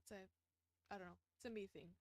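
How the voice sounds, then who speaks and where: A woman's voice: mid-range voice, fairly even intonation, quick pace, no room sound. A young adult woman streamer chatting casually while playing a visual novel, rambling with many fillers, self-conscious, laughing now and then. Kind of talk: casual let's-play commentary.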